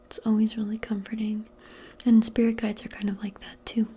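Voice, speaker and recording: A woman doing a soft-spoken ASMR roleplay, mostly in a breathy whisper, with a gentle, reassuring and hesitant way of talking. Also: mid-range voice, completely flat delivery, brisk.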